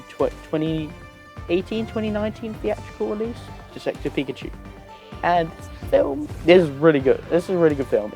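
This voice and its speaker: A man hosting a gaming video, giving casual, semi-improvised list commentary in a halting way, often trailing off and repeating words. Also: medium pitch, a little mellow. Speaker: host of a gaming video channel.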